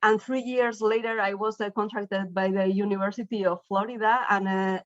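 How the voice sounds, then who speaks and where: A woman from northern Spain with a Spanish accent, giving an academic keynote talk as a professor in a somewhat halting, self-repeating way. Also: mid-range voice, steady tone, dry recording, dull audio. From northern Spain, Basque Country. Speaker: university professor, invited keynote speaker. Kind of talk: academic presentation.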